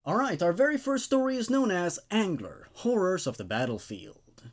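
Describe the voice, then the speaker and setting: Expressive male horror story narrator. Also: sits high, normal speed. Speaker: host reading horror stories. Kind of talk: scripted story narration with casual asides.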